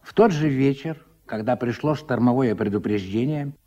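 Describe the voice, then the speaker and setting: A man narrating a radio play in a scripted, dramatic storytelling style with a husky voice. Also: mid-range voice, normal speed, mellow, dull audio.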